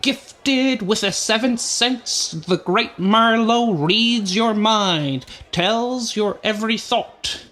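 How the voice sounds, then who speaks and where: A man's voice: sits high, takes it slowly, few pauses, sharp tone, exaggerated voice. A man playing a blustering nightclub boss and emcee in a radio play, bombastic and emphatic, with crowd sounds behind. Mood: grand, theatrical.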